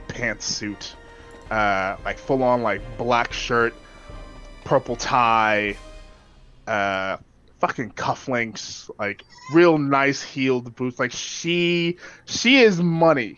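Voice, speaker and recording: Slow-talking man on lo-fi audio. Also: medium pitch, fairly bright tone. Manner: casual, emphatic, filler-heavy. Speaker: player. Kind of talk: describing his character in a tabletop game.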